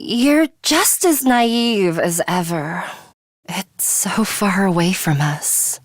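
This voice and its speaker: Game role: stern, aloof young female fighter, cool and commanding, very measured pace, close dry sound. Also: mid-range voice, no echo. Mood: teasing, dry, then wistful. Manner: curt, cool, deliberate, commanding. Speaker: voice actress. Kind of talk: scripted video game character lines.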